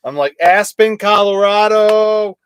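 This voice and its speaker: A young man co-hosting a casual live stream, relaxed and joking as he rambles through memories. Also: high voice, slow pace, smooth, no room sound. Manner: laid-back, joking, rambling.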